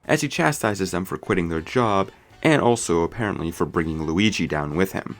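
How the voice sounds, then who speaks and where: A man's voice: sits low, lively intonation, moderate pace, faint music behind. A man narrating a scripted movie review as commentary. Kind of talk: scripted video essay commentary.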